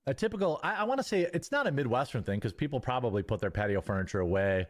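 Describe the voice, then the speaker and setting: Relaxed, hesitant man chatting. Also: medium pitch, fast talker. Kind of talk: casual chat.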